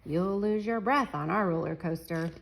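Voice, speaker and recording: Lower-voiced teacher reading a picture book. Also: female voice, moderate pace, gravelly, poor audio. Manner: animated, chuckles while reading, talks to children. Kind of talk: children's read-aloud storytime.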